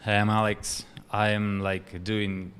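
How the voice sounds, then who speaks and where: A man's voice: lowish voice, level tone, slow pace, fairly bright tone. A man introducing himself in an offhand, self-deprecating way. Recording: reverb with faint background noise.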